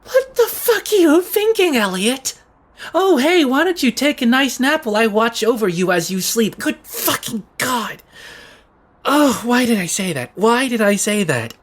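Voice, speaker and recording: A man's voice: very high-pitched, big pitch swings, a little slow, exaggerated voice. A man acting in an audio drama as an awkward, overeager young man who stammers and rambles nervously. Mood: self-berating, distressed. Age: young adult.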